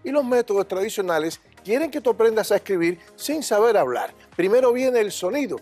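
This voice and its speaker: Husky older man, emphatic and instructive. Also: high voice, big pitch swings, fairly quick, darkish tone, faint music behind. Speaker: language school director. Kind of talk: TV studio interview. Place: TV studio set.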